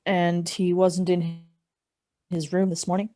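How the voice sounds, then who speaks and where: A young woman playing a polite, deferential male junior police officer in a radio play, speaking in a courteous, measured, formal way. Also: lowish voice, level tone, dark tone. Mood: polite, curious. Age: young adult. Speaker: student actor.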